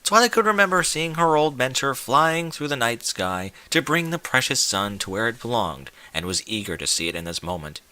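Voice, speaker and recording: A man's voice: mid-range voice, big pitch swings, moderate pace, few pauses, bright, forceful tone, no echo. A man reading a scripted story aloud as a dramatic narrator, with an emphatic, theatrical delivery. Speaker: fan fiction narrator.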